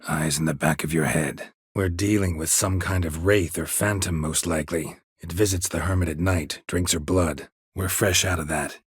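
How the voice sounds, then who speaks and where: An actor playing a gruff, weary, sardonic monster hunter in a video game, with a husky, gravelly low American voice and a terse, deadpan delivery. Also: male voice, normal speed, hardly any pauses, dry recording, clean studio sound. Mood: flat, businesslike.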